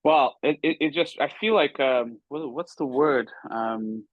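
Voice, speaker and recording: A man's voice: on the high side, measured pace, dry recording, phone-quality sound. A man from Kazakhstan, a guest in a casual chat, who searches for words and laughs at himself. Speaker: podcast guest, former hockey player.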